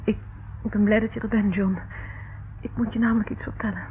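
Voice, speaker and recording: An actress playing a frightened, secretive young woman in a radio play, emotional, shifting between hushed and urgent speech. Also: mid-range voice, steady tone, brisk, natural voice. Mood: nervous, evasive. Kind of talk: radio play acting in Dutch. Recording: muffled, narrow-band, noisy old radio recording.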